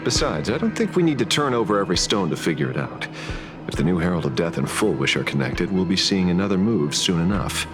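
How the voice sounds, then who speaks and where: An actor playing a gruff, wry investigator in a video game, confident and sardonic. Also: male voice, deep voice, very animated, fast. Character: gruff, wry, instinct-driven male investigator. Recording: music behind the voice.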